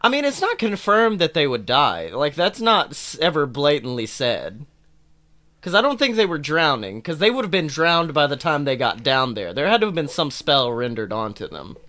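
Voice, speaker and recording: A man co-hosting a casual film chat podcast, talking in a jokey, animated way and swearing freely. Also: on the high side, moderate pace. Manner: animated, jokey, acts out imagined dialogue. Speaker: podcast co-host. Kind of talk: casual chat about films.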